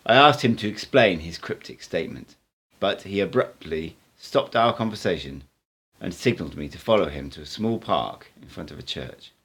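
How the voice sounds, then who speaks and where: A man, an amateur reader, narrating an audiobook in an expressive storytelling style. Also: low voice, moderate pace, breathy edge.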